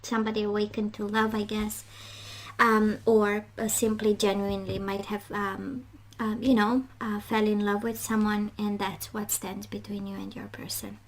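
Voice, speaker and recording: A woman giving an informal tarot reading in a halting, reflective way, often letting phrases trail off. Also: medium pitch, level tone. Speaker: tarot reader and host.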